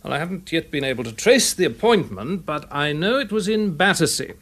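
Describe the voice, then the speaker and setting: An actor playing a dry, methodical English police inspector in a radio drama, explaining things in a measured, matter-of-fact way. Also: male voice, fairly high pitch, expressive, a bit bright. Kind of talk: classic BBC radio drama acting.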